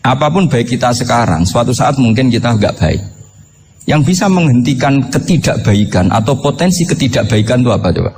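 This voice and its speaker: A man's voice: medium pitch, lively intonation, fairly quick, a little mellow, a little hiss or hum. A man with a Javanese Indonesian accent giving an informal Islamic lecture, conversational, putting rhetorical questions to his listeners and mixing in Arabic quotations. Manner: mixes Arabic quotations and regional words into speech. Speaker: Islamic preacher. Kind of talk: informal religious lecture to an audience.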